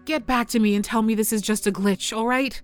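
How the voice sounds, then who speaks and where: A woman's voice: on the high side, fairly even intonation, fairly quick, music in the background, natural voice. A woman playing a worried space engineer in a sci-fi audio drama, urgently and formally reporting in. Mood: pleading. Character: worried female lunar mining engineer pleading for contact. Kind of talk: science fiction audio drama acting.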